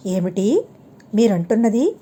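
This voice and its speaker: A woman's voice: medium pitch, sing-song, takes it slowly, dark tone, slightly rough, voice pushed down, heavily acted. A woman voicing a thrifty father who rents his home, earnest and reasoning, in an audiobook story. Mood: startled, pleading. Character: thrifty father Ramanatham, a worried male tenant.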